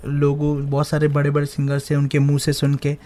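A young man from India, a singer giving a radio interview and offering earnest, gently emphatic advice in casual talk. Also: a little high, monotone, fairly quick. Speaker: singer, guest. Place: radio studio.

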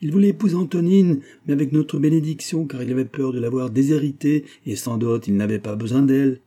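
Storybook role: bitter, haughty mother read by a man, vehement and commanding, dark timbre with a rough edge. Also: deep voice, flat delivery, normal speed. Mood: bitter anger. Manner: imperious, vehement, indignant. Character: haughty, angry matron, bitter grieving mother.

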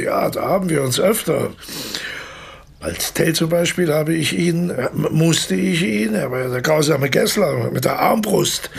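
An actor playing an evasive, talkative older former stage actor in a radio play, hesitant and theatrical, with a husky voice. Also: male voice, fairly high pitch, heavily acted. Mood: defensive, jovial. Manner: hesitant, self-correcting, theatrical. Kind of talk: German radio drama dialogue.

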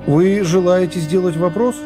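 Man playing a high-voiced, formal presiding judge. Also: fairly even intonation, over music, natural voice. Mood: formal, questioning. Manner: formal, measured, procedural. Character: male presiding judge, formally questioning the accused. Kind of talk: radio play character acting.

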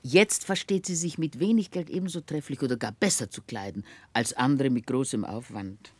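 An actress playing a warm-hearted, motherly middle-aged housekeeper in a 1960s radio play, effusive and chatty. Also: rumbling low, normal speed, no room sound. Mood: fond, confiding. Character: warm-hearted, emotional older housekeeper, fussing motherly wife. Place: dry radio studio.

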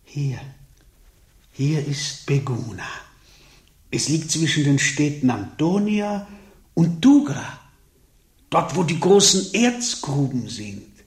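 An actor playing a diligent monk librarian in a German radio play, speaking hesitantly and respectfully. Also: male voice, on the high side, sing-song, slow, long pauses. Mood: searching, attentive. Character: diligent, deferential monk librarian, concerned and careful. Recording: roomy, echoing studio scene.

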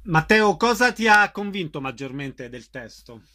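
A man's voice: a little high, sing-song, normal speed, bright, forceful tone. A man hosting a radio interview, asking questions and making announcements.

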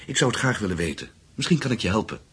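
A man's voice: on the low side, sing-song, very fast, breathy edge, full character voice. An actor in a Dutch radio play voicing an earnest, courtly, ambitious businessman with political aims.

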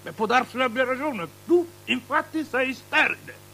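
An actor playing a capricious, lustful, superstitious tetrarch in avant-garde radio theatre, declaiming and lurching between outbursts and breathy murmurs. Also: male voice, extremely high pitch, moderate pace, sharp tone, heavily acted. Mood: agitated boasting. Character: capricious, lustful, superstitious male tetrarch, unstable and self-pitying. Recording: noisy, humming old radio drama recording.

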